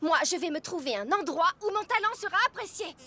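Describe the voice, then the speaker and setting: Very high, earnest young caterpillar. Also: female voice, a little fast, exaggerated voice. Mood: annoyed. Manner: expressive, indignant, youthful. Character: earnest, touchy young female caterpillar artist. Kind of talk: animated cartoon dub.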